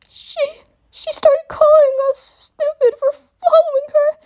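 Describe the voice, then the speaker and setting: A woman's voice: very high voice, little pitch movement, takes it slowly, darkish tone, pitched above the performer's own voice. A woman voicing a distraught young male dragon in a dramatic story reading, crying and stammering as the voice cracks. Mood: sobbing, panicked. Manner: whimpering, sobbing, stammering through cracking voice. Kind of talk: dramatic reading of fan fiction.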